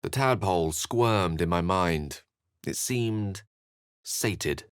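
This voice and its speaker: Low-voiced eloquent wizard. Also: male voice, lively intonation, slow, clean tone, no room sound, clean studio sound. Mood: grave, narrating. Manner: articulate, wordy, literary phrasing. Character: eloquent, theatrical male human wizard companion, erudite and wry. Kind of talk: fantasy video game voice acting.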